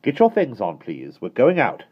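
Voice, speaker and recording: A man voicing a stern, sharp-tongued nanny with a clipped, haughty manner. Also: medium pitch, wildly swooping pitch, normal speed, breathy edge, lo-fi sound, pitched above the performer's own voice. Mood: scolding.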